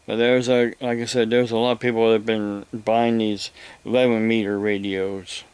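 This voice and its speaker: A man giving a rambling, casual explanation to viewers, halting and repeating himself as he goes. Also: lowish voice, little pitch movement, a little mellow, clean tone, no room sound. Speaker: vlogger.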